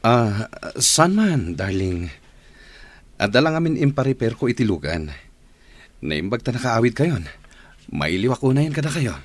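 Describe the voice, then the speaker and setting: A man with an Ilocano accent acting a smooth-talking, evasive husband and father in a radio comedy-drama, often stalling. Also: medium pitch, sing-song, normal speed, pauses often. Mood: affectionate.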